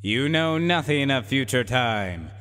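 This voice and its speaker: Man playing a pompous supercomputer in booming reverb. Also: expressive, fairly bright tone. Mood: solemn, prophetic. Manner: grandiose, slow declamation with archaic wording. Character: pompous, haughty supercomputer, grandly solemn and weary. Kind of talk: comic science fiction radio drama. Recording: long reverberant hall echo.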